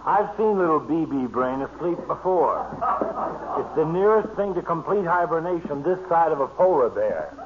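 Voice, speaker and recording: An American actor playing a blustery, sardonic, stubborn doctor in old-time radio comedy, on a muffled, noisy recording. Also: male voice, on the high side, lively intonation, moderate pace, lightly acted. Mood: gruff, sardonic. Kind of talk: 1930s-40s radio comedy acting.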